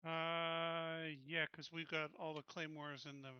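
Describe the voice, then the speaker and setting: A man's voice: fairly high pitch, level tone, slow pace, smooth, no room sound, lo-fi sound. A man chatting casually as a player in an online tabletop game. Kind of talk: casual gaming chat.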